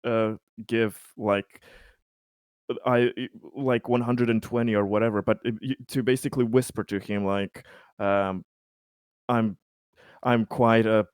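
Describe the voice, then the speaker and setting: A man playing a tabletop roleplaying game, improvising in character and out, with halting, stammering delivery. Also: lowish voice, steady tone, smooth, no room sound, clean studio sound.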